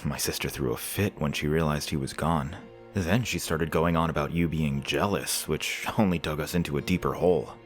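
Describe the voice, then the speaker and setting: An actor playing a courteous visiting prince in an audio drama, measured and formal in manner. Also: male voice, extremely low pitch, soft background music. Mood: concerned. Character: courteous, composed visiting foreign prince, a candid friend.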